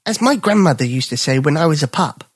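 A storyteller voicing a small old male dog who is polite, gentle and sad. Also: medium pitch, animated, fast talker, breathy edge, no room sound, clean studio sound, voice pushed up. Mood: wistful. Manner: polite, wistful.

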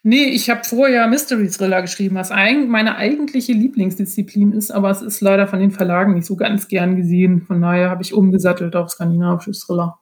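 A woman's voice: mid-range voice, fairly even intonation, fairly quick, hardly any pauses, darkish tone. A woman speaking as a guest author in a casual podcast conversation, explaining things in a reflective way.

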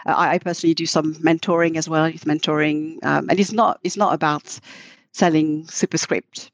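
A woman's voice: sits low, steady tone, dry recording. Thoughtful middle-aged woman, non-native English speaker. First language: not English. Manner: thoughtful, halting with frequent restarts. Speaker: guest, marketing executive. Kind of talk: podcast interview.

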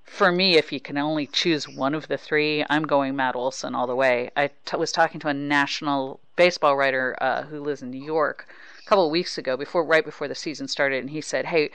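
Woman, American, sports podcast host. Smooth, clear delivery, conversational, very dry sound. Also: deep voice, fairly even intonation, brisk, a bit bright, dry recording. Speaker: podcast host and baseball reporter. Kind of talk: casual sports interview chat.

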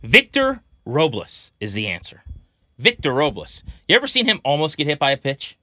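A man's voice: on the high side, wildly swooping pitch, moderate pace, pushed and bright, dry recording. A man hosting a sports podcast, chatting in an emphatic, playfully opinionated way. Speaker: podcast host.

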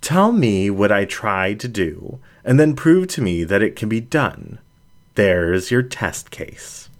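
A man's voice: lowish voice, sing-song, takes it slowly, exaggerated voice. A man playing a skeptical, hurt young female animal-folk journalist in a story reading, pointed and emotional in delivery. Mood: challenging, skeptical.

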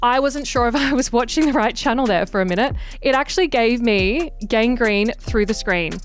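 Young woman podcaster, sharp-tongued and sarcastic, slightly high voice, faint music under her. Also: moderate pace. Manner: sassy, sarcastic and irreverent, swears freely. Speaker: podcast host. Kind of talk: casual gossip podcast monologue.

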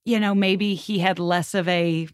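A woman's voice: fairly low pitch, measured pace, no echo. Casual, colloquial woman, close dry sound. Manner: animated, colloquial, peppered with "like" and acted-out asides. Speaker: podcast guest. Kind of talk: casual conversational commentary on history.

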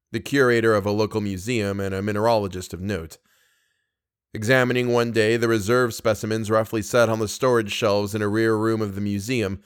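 A man who hosts a podcast and reads classic horror fiction aloud, speaking as a narrator and presenter. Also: fairly low pitch. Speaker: podcast host and story reader. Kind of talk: casual podcast introduction and audiobook-style narration of a horror story.

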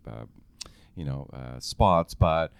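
Deep voiced middle aged guy on a podcast, projects a lot, laughs easy, dry sound. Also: expressive, takes it slowly, clean tone, no room sound. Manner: projects strongly, laughs often, restarts phrases. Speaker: podcast guest. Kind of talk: casual interview chat.

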